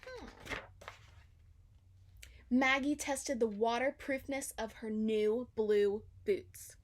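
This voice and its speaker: A woman's voice: fairly high pitch, slow pace. A woman narrating a children's story aloud, expressively and with dramatic emphasis. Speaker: storybook reader.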